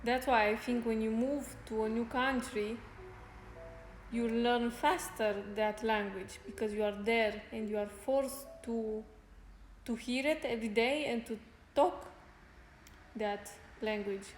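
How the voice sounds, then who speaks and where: Slow, soft-spoken woman in an echoey room. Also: on the high side, fairly even intonation. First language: Spanish. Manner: unhurried, pauses to search for words, light laughs. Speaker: fitness streamer. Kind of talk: casual live-stream chat with viewers. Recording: roomy echo with faint music.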